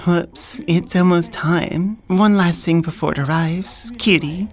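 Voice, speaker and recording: A woman playing a confident, dominant elf coworker who flirts adoringly, soft-spoken in a close-miked ASMR romance roleplay. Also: fairly low pitch, slow pace. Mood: teasing, seductive. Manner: teasing, doting, self-assured. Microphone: close binaural studio microphone.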